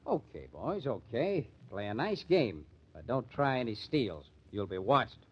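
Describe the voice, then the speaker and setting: Sardonic hard-boiled American cop, medium-pitched, needling interrogator, dull vintage radio crime drama sound. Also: male voice, very animated, a little slow, heavy background noise. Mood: sardonic, suspicious. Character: tough, sardonic, suspicious police detective. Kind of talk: old-time radio crime drama acting. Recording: dull old-time radio recording.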